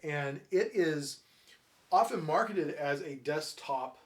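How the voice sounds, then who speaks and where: A man's voice: fairly high pitch, animated, slow pace. A man chatting casually as a co-host, agreeable and inquisitive, recorded at a distance from the microphone. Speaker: audio shop owner, co-host. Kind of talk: casual conversational chat.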